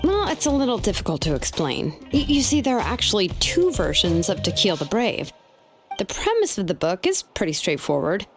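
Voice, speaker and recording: A woman's voice: mid-range voice, big pitch swings, normal speed. A woman playing an easygoing, matter-of-fact young woman, a considerate friend, in video game dialogue. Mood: matter-of-fact, explaining. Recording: game music behind the voice.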